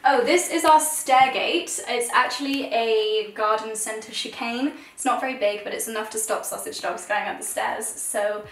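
A woman's voice: on the high side, normal speed, hardly any pauses, fairly bright tone. A young woman with an English accent, giving an informal, chatty house tour to camera from indoor rooms. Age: young adult. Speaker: lifestyle vlogger and host. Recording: echoey rooms, distant mic, some noise.

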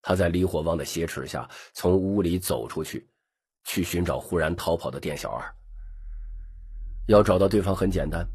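Very deep, husky male storyteller. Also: darkish tone. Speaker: audiobook narrator. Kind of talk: scripted dramatic story narration.

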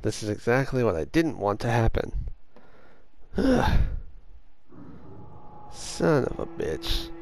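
A young man with a hoarse voice chatting casually over his gameplay as a gaming host, swearing when things go wrong. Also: mid-range voice, sing-song, slow pace, pauses often, darkish tone, slight background noise. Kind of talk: casual let's-play commentary.

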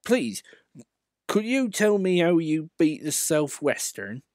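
A man's voice: on the high side, very animated, slow pace, a little mellow, dry recording, clean studio sound, pitched above the performer's own voice. A man voicing a small, earnest and shy male engine who speaks eagerly and politely.

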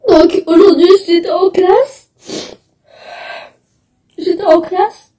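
A teenage girl, crying and halting as she tells her story to a phone camera indoors. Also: very high-pitched, warm tone, reverberant, recorded from a distance. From Belgium. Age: teens. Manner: tearful, halting, upset. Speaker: student. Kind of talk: selfie video testimony. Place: indoors, small room. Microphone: phone held close, front camera.

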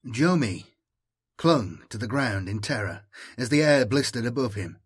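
A male narrator reads a dramatic story from a script. His voice is husky and mid-pitched, suited to an audiobook. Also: expressive, unhurried.

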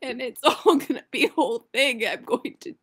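High-pitched, anxious young woman acted by a woman. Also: a bit bright, husky. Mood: sobbing, panicked. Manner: halting, stammering. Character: anxious, lonely young woman driver, prone to meltdowns. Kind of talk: improvised comedic cartoon fandub.

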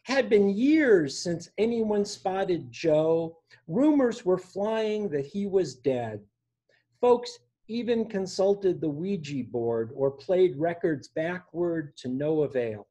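A man in his sixties reading his own poems for an online open mic, with a measured, deliberate delivery. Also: high-pitched, animated, dark tone. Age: 60s. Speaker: poet reading own work. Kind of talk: poetry reading at a virtual open mic, with informal introductions. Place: small room indoors at home.

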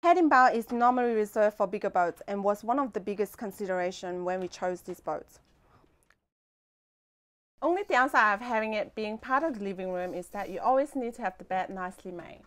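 A woman's voice: mid-range voice, normal speed, pauses often, a bit bright. A young woman giving a casual guided tour as a host, speaking into a clip-on mic inside a small boat cabin. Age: young adult.

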